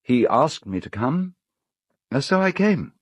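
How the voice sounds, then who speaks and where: An actor with a British accent playing an urbane, cold, self-justifying gentleman in an audio drama, speaking in a measured, articulate, dryly ironic way. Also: medium pitch, very animated, slightly rough, no room sound. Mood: hesitant, polite.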